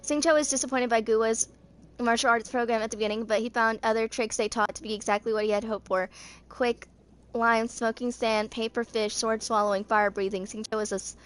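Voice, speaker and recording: A woman's voice: fairly high pitch, fairly even intonation, a little fast. A woman in her twenties reading game character lore aloud as an amateur creator, stumbling over words and rereading them. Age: 20s. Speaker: amateur gaming fan video creator.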